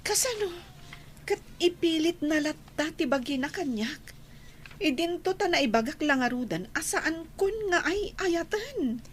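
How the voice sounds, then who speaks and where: A woman's voice: sits high, animated, a little slow. An actress playing a firm young college woman in a radio drama, speaking with a Filipino accent. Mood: frustrated, pleading. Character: Emma, firm young college woman refusing an old love. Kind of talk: Ilocano radio drama acting.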